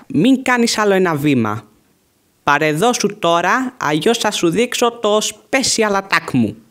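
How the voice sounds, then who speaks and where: A performer voicing an excitable, boastful male skeleton guard in a theatrical, bombastic cartoon fan dub style. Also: medium pitch. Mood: boastful, challenging. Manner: theatrical, bombastic, emphatic delivery.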